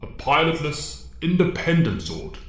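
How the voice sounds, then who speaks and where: An actor playing a stern, fatherly alien mentor in an audio drama, speaking formally and with measured authority. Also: a little high, sing-song, fairly bright tone, reverberant. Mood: grave, instructive.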